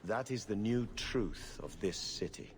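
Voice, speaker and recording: A weary, harried bureaucrat in a video game. His deep voice rises and falls with formal, dry exasperation in long reverb. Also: lively intonation, measured pace. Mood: grave, warning. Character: weary, harried, sardonic male city official. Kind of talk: video game cutscene acting.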